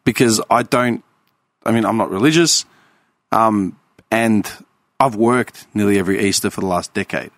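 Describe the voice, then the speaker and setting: Man, Australian accent, thirties, casual chat, blunt, swears, low voice. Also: moderate pace, fairly bright tone, dry recording. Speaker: podcast co-host.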